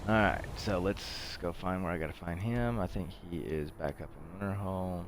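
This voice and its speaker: A man's voice: deep voice, moderate pace, noisy background. A man giving casual let's-play commentary as a game streamer, muttering offhand to himself.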